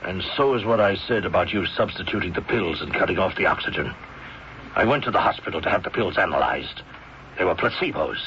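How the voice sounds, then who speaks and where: An actor playing a grave, resolute doctor making accusations in a measured 1970s radio mystery style. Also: male voice, sits low, lively intonation. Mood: firm accusation. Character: grave, resolute male doctor confronting a killer. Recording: muffled, noisy 1970s radio recording.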